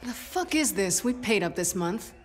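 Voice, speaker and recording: A woman's voice: medium pitch, animated, moderate pace, natural voice. An actress playing a brash, defiant young female gang member in a video game cutscene, confrontational and foul-mouthed. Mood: indignant. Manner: profane, confrontational. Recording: music behind the voice.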